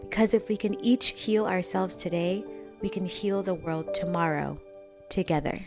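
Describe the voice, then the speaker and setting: A woman's voice: lowish voice, moderate pace. A woman giving an earnest, uplifting voice-over in the show's intro. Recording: voice over loud music.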